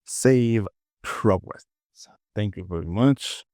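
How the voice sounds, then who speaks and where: A man casually presenting a software tutorial, talking to himself as he works and repeating short phrases. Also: lowish voice, takes it slowly, no echo, studio quality.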